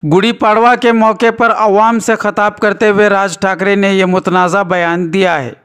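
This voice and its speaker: A male news reporter with a high, clean and resonant voice. He reads scripted narration and commentary, using formal, rhetorical phrasing. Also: level tone, moderate pace, a bit bright, clean tone.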